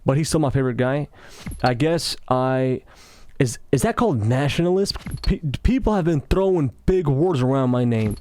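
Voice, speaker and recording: A man's voice: medium pitch, normal speed, darkish tone. A young man with a slight Russian accent, rambling through casual gaming commentary and often breaking off mid-thought. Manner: talkative, meandering, self-interrupting. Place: office.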